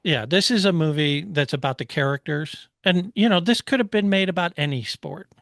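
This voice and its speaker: A man's voice: fairly high pitch, moderate pace, gravelly, a bit bright, no room sound, studio quality. A man co-hosting a podcast, chatting casually and explaining things like a knowledgeable enthusiast. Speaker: podcast co-host. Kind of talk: casual movie review discussion.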